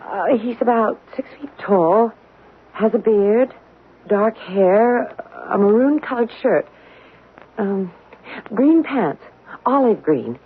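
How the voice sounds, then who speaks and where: An actress playing an anxious young mother who fears a ghost in her house, speaking nervously with stammers and a breathy voice in a 1970s radio drama. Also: mid-range voice, expressive, slow, long pauses. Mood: scared. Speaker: frightened homeowner. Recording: narrow-band old radio broadcast.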